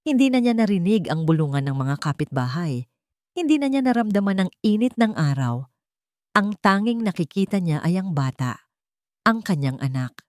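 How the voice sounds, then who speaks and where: A woman with a Filipino accent narrating a scripted dramatic story in a measured, solemn way. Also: low voice, darkish tone, dry recording, clean studio sound.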